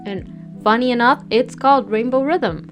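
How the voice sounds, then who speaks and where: A young woman from Peru, a Spanish speaker, telling a scripted storytime in an earnest, gently self-mocking way. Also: on the high side, normal speed. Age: young adult. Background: music bed.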